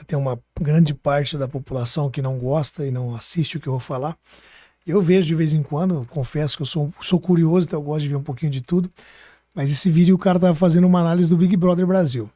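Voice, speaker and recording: A man's voice: on the high side, warm tone, no echo. A man with a Brazilian Portuguese accent chatting informally as a podcast host, thinking aloud as he goes. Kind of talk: casual podcast conversation.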